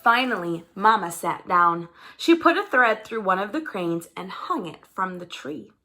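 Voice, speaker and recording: Animated, bright-voiced woman reading a storybook. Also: medium pitch, measured pace. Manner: animated, dramatized delivery with character voices. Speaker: storybook reader. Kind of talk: expressive children's story read-aloud.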